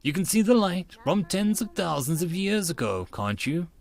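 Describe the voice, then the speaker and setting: A man voicing a gutsy, sharp-tongued eighteen-year-old genius girl who lectures assertively in an audiobook. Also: high voice, big pitch swings, normal speed, gravelly, soft background music, pitched above the performer's own voice, exaggerated voice.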